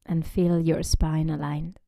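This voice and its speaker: A woman yoga teacher leading a guided relaxation meditation in a gentle, unhurried way, often softening into a breathy near-whisper. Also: low-pitched, little pitch movement, dry recording, clean studio sound.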